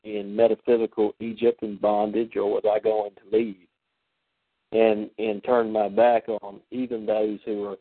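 A man talks through spiritual ideas with animated energy and acts out the voices he quotes. His voice comes over a thin, phone-like line. The sound is dry and close. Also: fairly low pitch, measured pace, dry recording. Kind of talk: spiritual discussion.

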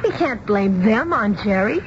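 An actress playing a distraught, petulant young bride-to-be, plaintive and emotional, in a 1970s radio drama. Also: female voice, mid-range voice, animated, a little slow, natural voice. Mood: dismayed. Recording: muffled, very noisy old radio broadcast.

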